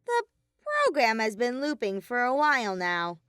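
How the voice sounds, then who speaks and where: A woman's voice: fairly high pitch, huge pitch swings, measured pace, a bit bright, clear, resonant tone, no echo, studio quality, faint music behind, voice pushed up, full character voice. A woman voicing a mischievous, sadistic male robot bear in an audio story, flippant and taunting. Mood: hesitant. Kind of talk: fan fiction audio story character voice.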